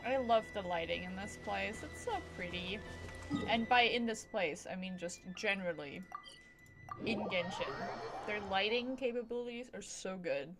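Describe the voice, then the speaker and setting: A woman's voice: mid-range voice, expressive, moderate pace. A woman livestreamer giving casual gameplay commentary with playful, offhand short reactions. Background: game music.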